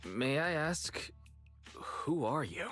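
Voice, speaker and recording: An actor playing a kind, level-headed young man in a video game, with a soft husky voice and a gentle, courteous manner. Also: on the high side, very animated, slow pace, soft background music, full character voice. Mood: curious, polite.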